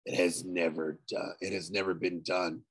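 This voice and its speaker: A man reading an audiobook, voicing a sly, menacing male ghost in a low, hushed, breathy voice, slow and persuasive. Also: level tone. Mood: coaxing, sinister. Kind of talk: audiobook character voice.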